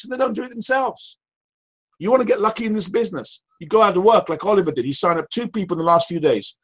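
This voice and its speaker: Loud, emphatic man leading a team call. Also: sits high. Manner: emphatic, repetitive, rhetorical questions. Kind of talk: motivational sales call. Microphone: call audio.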